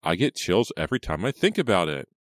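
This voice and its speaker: Man voicing spoiled rich girl, raised pitch. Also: expressive, moderate pace, no echo, studio quality. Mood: irritated. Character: spoiled rich teenage girl, irritated, self-centered.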